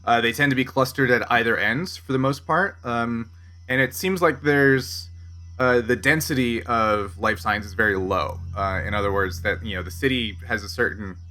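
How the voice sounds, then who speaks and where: A man's voice: medium pitch, moderate pace, pushed and bright. A man speaking as game master, improvising scene descriptions and rulings in a tabletop roleplaying game.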